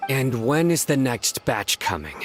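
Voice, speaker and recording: An actor playing a stern investigator in a video game, measured, grave and commanding. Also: male voice, mid-range voice, sing-song, soft background music. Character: stern, deep-voiced male investigator and judge.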